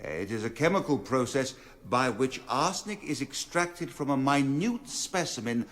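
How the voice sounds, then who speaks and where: An actor playing an assured gentleman scientist giving expert evidence in a radio courtroom drama, formal and precise. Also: mid-range voice, animated, normal speed, close to an everyday voice. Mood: precise, explanatory.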